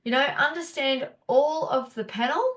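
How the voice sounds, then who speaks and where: A woman's voice: high voice, moderate pace, fairly bright tone. A woman, a naturopath hosting a casual live teaching session, chatty and encouraging, her nose stuffy and blocked up. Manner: chatty, encouraging, many fillers.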